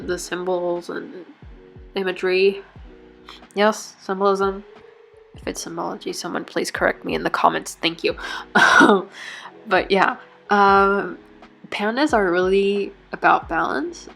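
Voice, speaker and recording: A woman's voice: fairly low pitch, gravelly, a bit bright. A woman who is not a native English speaker, fighting off a cold, chatting casually through a journal setup with lots of fillers and self-corrections. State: has a cold. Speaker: bullet journal vlogger. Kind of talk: casual chat.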